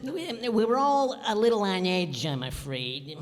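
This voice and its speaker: A woman's voice: mid-range voice, sing-song, a little slow. A woman playing a fussy, formal male carrot government secretary in a comic radio play, prim and hesitant, in an echoey hall. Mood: nervous. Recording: live stage performance in echoey hall.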